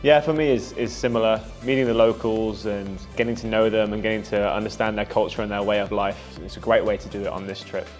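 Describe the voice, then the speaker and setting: A man in his twenties from the UK talks through an interview in an upbeat, chatty way, with a few fillers. His voice sits low. Music plays clearly behind him. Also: steady tone, brisk, few pauses. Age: 20s. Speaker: trip participant. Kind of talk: casual interview answers.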